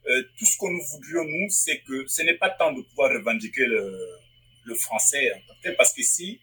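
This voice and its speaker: A man's voice: mid-range voice, lively intonation, normal speed, pushed and bright, husky, low-quality recording. A man from Africa, a publisher and writer, answering interview questions in a thoughtful way, often restarting phrases as he searches for words. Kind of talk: interview guest. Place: Northwest Territories.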